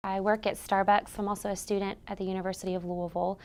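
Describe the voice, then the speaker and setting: A woman in her twenties, a vocal music student, telling her story as a patient in a clear, matter-of-fact way. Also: mid-range voice, level tone, fast, darkish tone. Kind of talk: personal testimonial.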